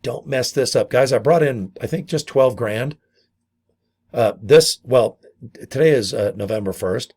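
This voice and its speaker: A middle-aged man with a hoarse, gravelly voice from a vocal cord injury, casually pitching his online business and often calling his viewers "guys". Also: a little slow, darkish tone. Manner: chatty, repeats "guys" and "okay". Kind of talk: casual sales pitch to camera.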